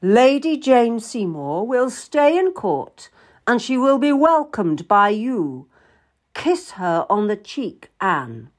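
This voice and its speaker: A woman's voice: a little high, sing-song, takes it slowly, pitched above the performer's own voice, exaggerated voice. A woman storyteller voicing a domineering, boisterous king with a commanding, condescending and emphatic way of talking. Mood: stern, menacing.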